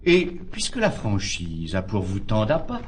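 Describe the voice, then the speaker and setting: An actor playing a tolerant, philosophical friend who urges moderation, speaking classical French verse in a measured, articulate way. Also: male voice, on the low side, huge pitch swings, slight background noise. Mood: amused reproach.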